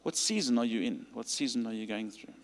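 A man with a South African accent preaching a sermon and leading a call to stand, his delivery rising to emphatic peaks and dropping to quiet pleading prayer. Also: medium pitch, a little fast. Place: large church hall. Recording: long hall reverb.

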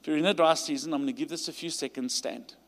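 A man with a South African accent preaching a sermon and leading a call to stand, his delivery rising to emphatic peaks and dropping to quiet pleading prayer. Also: a little high, fast talker. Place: large church hall. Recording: long hall reverb.

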